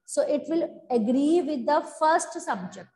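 A high-pitched woman with an Indian English accent teaches grammar, repeating each point and asking her class whether it is clear. Her voice carries a roomy echo from the classroom, picked up by a lapel mic. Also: animated, a little slow, mellow. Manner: repetitive, checks in with students often. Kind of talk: online grammar lesson at a chalkboard. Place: classroom in front of a chalkboard.

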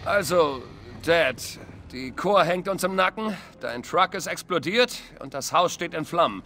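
A man's voice: a little high, expressive, a little slow, pushed and bright, slight background noise, full character voice. A man dubbing a wry young soldier son in a video game, over game music. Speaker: game character. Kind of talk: German game dub.

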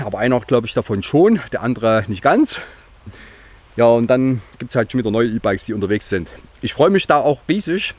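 A man's voice: mid-range voice, no room sound. Guy in his 40s with a bit of a cold, rambling upbeat vlog chat outside, camera held at arm's length. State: slight cold, coughing. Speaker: vlogger. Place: outdoors on a rural yard. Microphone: handheld camera at arm's length.

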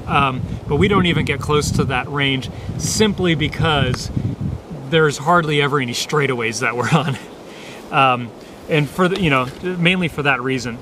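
A man's voice: on the high side, normal speed. A man in his thirties giving a casual, unscripted motorcycle gear review in a meandering, hesitant way, outdoors on a camera mic. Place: outdoors in dry grass by parked motorcycles. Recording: noisy outdoor camera recording.

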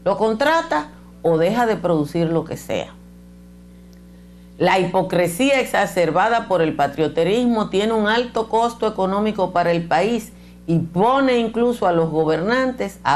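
A woman's voice: mid-range voice, slight background noise. An older woman from the Dominican Republic with a Dominican Spanish accent, delivering blunt, emphatic opinion commentary to camera on a lapel mic in a small studio. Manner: emphatic and blunt, gesturing with her hands. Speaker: political commentator. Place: small indoor studio set with bookshelves.

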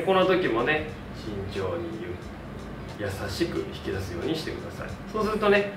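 Animated man in a roomy, distant recording. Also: mid-range voice. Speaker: hairdresser presenting a tutorial. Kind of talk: instructional hair tutorial to camera. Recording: roomy echo, distant mic, background music. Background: music.

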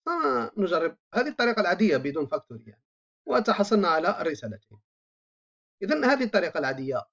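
A programming instructor, explaining code while typing, thinking aloud with frequent drawn-out filler sounds. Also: mid-range voice. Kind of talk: screen-recorded coding tutorial. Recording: dull lo-fi voice-over mic.

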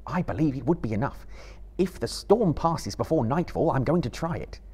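Gentlemanly hobbit hero, male reader performing: earnest, resolute, well-spoken, lively husky tone for fantasy storytelling. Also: medium pitch, normal speed, voice pushed up. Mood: determined. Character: earnest, determined gentlemanly hobbit adventurer, thoughtful.

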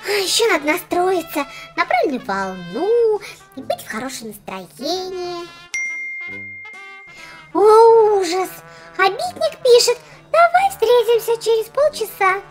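A woman's voice: extremely high pitch, big pitch swings, a little slow, faint music behind, exaggerated voice. A woman voicing a fussy, boastful little doll girl in a children's cartoon, theatrical and condescending. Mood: dramatic fussing.

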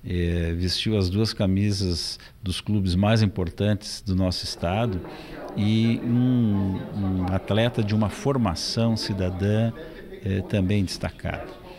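A middle-aged man speaks in a formal, deliberate way, pausing on hesitations. His voice sits low, recorded in an indoor office. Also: fairly even intonation, a little mellow. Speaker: university rector. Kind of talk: formal interview statement.

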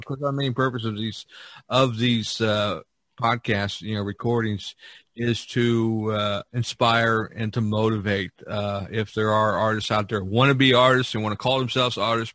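A man's voice: mid-range voice, fairly bright tone, dry recording. A man hosting a casual unscripted podcast, talking in a rambling way and repeating his phrases. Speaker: podcast host.

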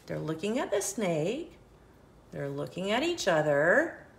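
A woman reading a picture book aloud for children, expressive, with questioning asides to young listeners. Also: fairly low pitch, a little slow, vocal fry. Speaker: children's story reader and host.

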